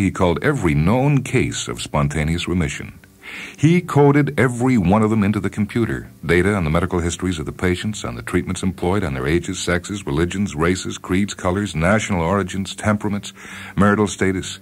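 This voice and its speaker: A man reading a short story as scripted radio narration, deliberate and wry, with theatrical phrasing and dramatic pauses. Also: sits low, lively intonation. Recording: music bed under narration. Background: background music with guitar.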